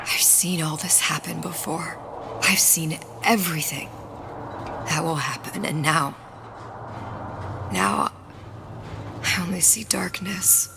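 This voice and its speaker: An actress playing a clever, resolute young woman in a game, bookish and haunted, with a dry, often hushed delivery. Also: sits low, slow, pauses often, in a large hall, noisy background. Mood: haunted, somber. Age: young adult.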